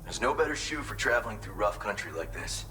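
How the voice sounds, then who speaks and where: A man's voice: on the low side, lively intonation, a little fast, over music, close to an everyday voice. A man acting a folksy, earnest mountain porter in a video game, talking conversationally and with pride. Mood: conversational, proud.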